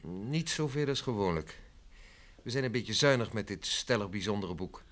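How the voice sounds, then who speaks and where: A man's voice: fairly low pitch, lively intonation, normal speed, fairly bright tone. An actor playing an affable, obliging male book publisher in an old Dutch radio drama, chatty and businesslike.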